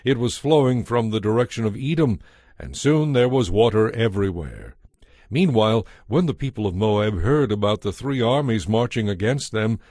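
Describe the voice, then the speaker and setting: A man narrating a dramatized audio Bible in a measured storytelling manner. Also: mid-range voice, a little mellow, no room sound, clean studio sound.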